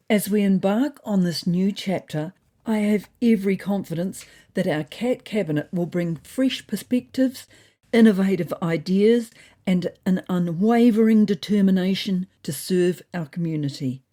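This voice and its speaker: A woman's voice: mid-range voice, dark tone. A woman voicing a pompous female cat leader who gives grand, formal political oratory in a comedy story podcast.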